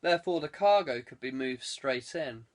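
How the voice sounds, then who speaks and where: A man's voice: medium pitch, expressive, moderate pace. A man reading a thriller aloud as a scripted audiobook narration.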